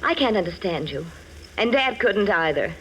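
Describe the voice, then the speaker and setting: A woman's voice: mid-range voice, sing-song, normal speed, fairly bright tone, husky, rough recording. A woman playing an anguished young woman in trouble, earnest and melodramatic, in 1940s-style radio drama. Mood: hurt, reproachful. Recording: hissy vintage radio recording.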